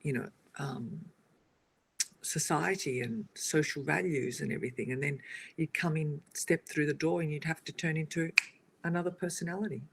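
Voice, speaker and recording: A woman, a guest on a casual talk show, telling stories in an animated way with frequent laughter. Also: deep voice, moderate pace, creaky, a little hiss or hum, rough recording. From Australia. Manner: animated storytelling, laughs often. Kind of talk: casual conversation on a video podcast.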